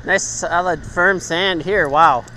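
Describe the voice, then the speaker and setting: Young guy, bright voice, casual; handheld phone on a windy beach, short dog commands. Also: sits high, takes it slowly. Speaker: dog owner filming a beach walk. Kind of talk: casual chat. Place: sandy lake beach outdoors, waves behind. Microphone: handheld phone camera. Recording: faint surf and wind noise.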